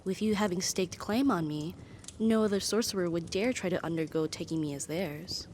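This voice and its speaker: A woman's voice: on the low side, moderate pace, a little mellow, a little hiss or hum, natural voice. A woman voicing a powerful female demon bound as a devoted, possessive servant, speaking formally and breathily in an ASMR roleplay. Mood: pleased, reverent. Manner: formal, stately, breathy sighs and whispers.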